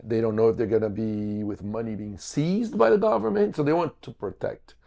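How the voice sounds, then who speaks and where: A man's voice: on the low side, brisk, vocal fry, warm tone. A man from Brazil, a native Portuguese speaker, explaining things step by step as an interview guest. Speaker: podcast guest, financial business owner.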